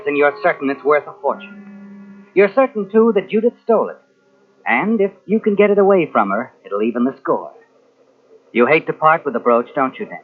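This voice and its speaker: An actor playing a knowing, insinuating narrator in a 1940s radio suspense drama, speaking dramatically as if taunting the guilty hero. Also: male voice, on the high side, breathy edge. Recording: tinny old radio recording from cassette.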